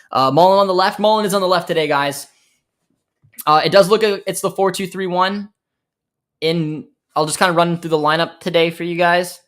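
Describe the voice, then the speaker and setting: A young American man hosting a live football watchalong, chatting casually with his viewers, reacting to the play and thinking aloud with lots of fillers. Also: a little high. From United States. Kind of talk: casual live match commentary and chat reading.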